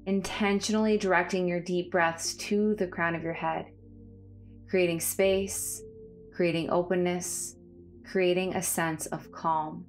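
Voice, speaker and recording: A woman with a slightly low voice guides a body scan meditation. Her delivery is calm and soothing, with measured phrases and space between them. Faint music plays behind her. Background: background music.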